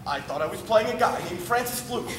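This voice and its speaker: A man's voice: high voice, animated, a little fast, far from the mic, a crowd in the background. A man voicing a fussy, flustered actor reluctant to play a female role. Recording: echoey room, noisy.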